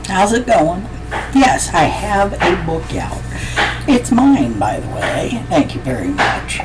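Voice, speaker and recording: A woman author reading a chapter of her own novel aloud in a slow, measured way. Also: fairly low pitch, sing-song, loud music behind the voice, poor audio.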